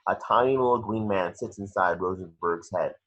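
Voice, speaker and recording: A man's voice: low voice, moderate pace, fairly bright tone. A man reading scripted action descriptions as narrator for a table read, on a muffled home recording.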